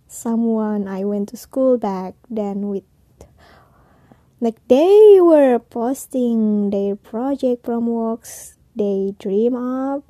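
A woman's voice: a little high, dark tone, no room sound. A woman in her twenties with an Indonesian accent, hosting a casual personal podcast in hesitant, non-native English. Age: 20s. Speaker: podcast host.

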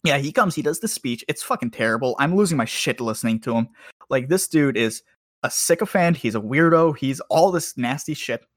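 A man's voice: mid-range voice, lively intonation, fairly quick, a bit bright, no echo, clean studio sound. A man bantering and telling stories casually as a podcast co-host, with a profane, joking and teasing manner.

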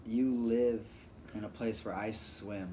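A man's voice: on the low side, steady tone, slow, mellow, a little hiss or hum. A man hosting a podcast, telling rambling, profane stories in casual conversation. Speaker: podcast host. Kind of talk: casual chat, storytelling.

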